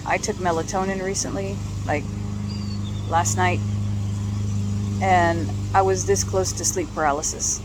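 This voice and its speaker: A hoarse woman speaks in a low voice and a loose, halting vlog manner, stopping on ums and starting sentences over. Her voice sits a bit lower than most. Clear traffic and engine noise runs behind her outdoors. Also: steady tone. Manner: halting, with frequent ums and restarts. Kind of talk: casual personal vlog.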